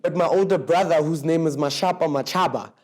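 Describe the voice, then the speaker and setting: A man's voice: fairly high pitch, a little fast, darkish tone. A South African man in his thirties, a mayoral candidate, speaking in a fluent, confident, conversational way. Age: 30s.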